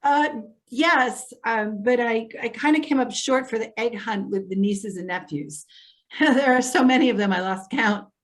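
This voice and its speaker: A woman's voice: fairly high pitch, unhurried, close to an everyday voice. A woman playing an earnest, apologetic social worker in readers theater, speaking with careful, placating hesitation. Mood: chatty.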